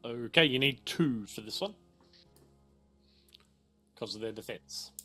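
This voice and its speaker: A man refereeing a casual tabletop game, halting and often repeating himself. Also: medium pitch, expressive, bright, forceful tone, soft background music. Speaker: game master.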